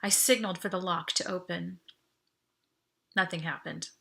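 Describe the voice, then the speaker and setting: Woman reading an audiobook, bright forceful voice, expressive first person storytelling. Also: fairly low pitch, normal speed, creaky. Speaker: audiobook reader.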